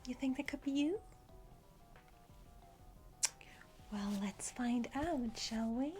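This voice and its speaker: A woman's voice: high voice, normal speed, mellow, soft background music, natural voice. A woman playing a self-important young criminal henchwoman in an ASMR roleplay, whispering breathily and hesitantly close to the microphone. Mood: boastful, coy. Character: boastful, eager young female criminal henchwoman.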